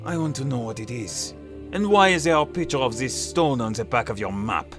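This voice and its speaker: A man playing a proud, menacing military commander in an audio drama, speaking in a deliberate, probing way. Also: medium pitch, animated, fairly bright tone, soft background music, close to an everyday voice.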